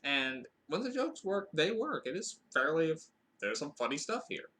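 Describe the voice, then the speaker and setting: Lively, bright-voiced man rambling through a review. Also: on the high side, normal speed. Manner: rambling, with frequent fillers and restarts; dry, wry asides. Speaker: podcast host reviewing a film. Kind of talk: casual spoken review.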